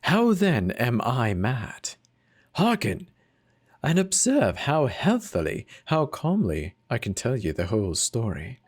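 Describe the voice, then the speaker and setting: Man reading horror slowly, wild pitch swings. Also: huge pitch swings. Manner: theatrical, whispery swings from hushed to manic. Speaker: narrator. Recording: faint music behind the voice. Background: faint instrumental music.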